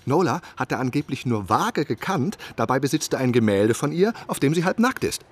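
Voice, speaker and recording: A man's voice: medium pitch, big pitch swings, brisk. A man playing an eager, inquisitive novelist turned investigator in a radio drama, earnest and probing. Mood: excited. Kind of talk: radio drama narration and dialogue.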